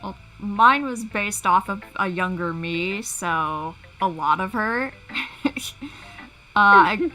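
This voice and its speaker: A woman's voice: medium pitch, slow, pushed and bright, low-quality recording. A woman chatting casually on a livestream, giggly and amused at herself. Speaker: livestream panel guest, fan story creator. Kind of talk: casual chat.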